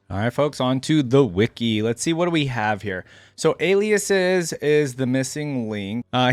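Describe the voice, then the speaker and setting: A man's voice: mid-range voice, no room sound. A man speaks as the host of a reaction video, with a mid-range voice. He is enthusiastic and informal, shifting between reading written material and chatty commentary. The sound is close and dry, with very little room in it. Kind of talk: casual reaction commentary, reading wiki text aloud.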